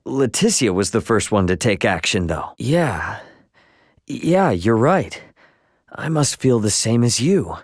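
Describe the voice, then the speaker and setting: An actor playing an easygoing, cocky, good-natured young hero in a video game, talking in a casual, teasing, slangy way. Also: male voice, medium pitch, no room sound, clean studio sound. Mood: sincere. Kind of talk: English video game dialogue acting.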